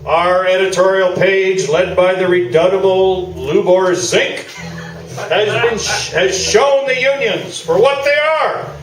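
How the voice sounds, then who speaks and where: A man's voice: high voice, slow pace, soft background music. A man playing a pompous, self-satisfied newspaper sports columnist in a live staged radio play, talking in grandiloquent phrases. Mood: indignant, pompous. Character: pompous, boosterish male newspaper sports columnist, staunchly loyal. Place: small theatre stage, indoors. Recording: roomy, distant live theatre video, noisy.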